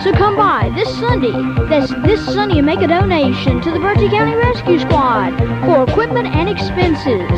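A woman's voice: very high-pitched, big pitch swings, moderate pace, hardly any pauses. A woman reading a scripted event promo as a radio announcer. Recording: dull lo-fi 1980s radio tape. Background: loud music.

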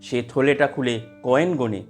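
A man narrating a scripted animated story in an expressive storytelling manner. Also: mid-range voice, normal speed. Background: music.

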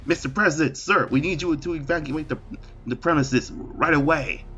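A man's voice: fairly high pitch, moderate pace, pushed and bright, highs cut off, soft background music. Man playing a businesslike, deferential military commander. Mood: urging. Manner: urging, polite, businesslike. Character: brisk, deferential male military commander advising a president. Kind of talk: improvised comedic parody fandub.

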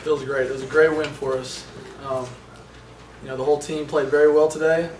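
Young man answering, halting and flat. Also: a little high. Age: young adult. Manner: halting, many fillers and restarts. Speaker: college quarterback. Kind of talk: postgame press conference answers. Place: indoor press room in front of a sponsor backdrop. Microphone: table microphones from a short distance. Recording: roomy, distant sound with faint noise.

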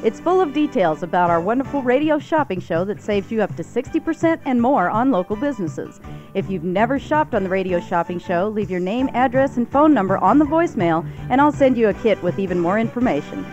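Lively American woman announcer. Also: mid-range voice, fairly quick, lo-fi sound. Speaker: radio station announcer. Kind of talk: scripted radio promo. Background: music.

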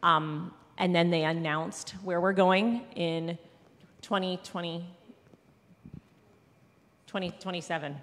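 A woman's voice: on the low side, fairly even intonation, slow pace, pauses often, fairly bright tone. A woman giving a casual, rambling report to a church congregation, often correcting herself as she goes. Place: large church sanctuary. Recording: long church reverb.

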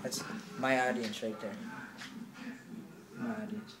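A man's voice: mid-range voice, very animated, slow, roomy sound, over a noisy crowd. A young man rambling and goofing around with a friend in a small bedroom. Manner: goofy, rambling, playfully defensive. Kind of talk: casual chat.

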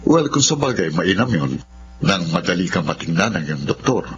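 Low-voiced, protective Filipino father. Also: very animated, normal speed, background noise. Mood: mildly curious. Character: middle-aged father, protective, questioning his grown son. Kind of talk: Filipino radio drama acting. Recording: dull, muffled audio.

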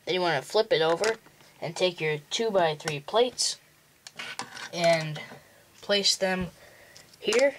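A man's voice: high voice, background noise. A young male host giving a plain, step-by-step walkthrough for building a toy model. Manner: slow, plain instructional walkthrough. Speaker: tutorial host.

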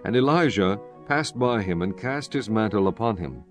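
Casting: man with a fairly low voice, solemn, measured scripted Bible narration over faint music. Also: expressive. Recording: music bed under the voice. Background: background music.

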